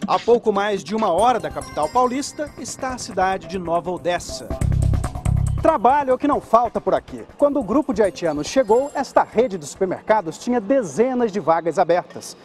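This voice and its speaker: A male television reporter narrates in a measured, deliberate style. His voice sits high for a man. A clear music bed runs beneath his words. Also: animated. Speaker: TV news reporter. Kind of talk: broadcast news narration and field interviews.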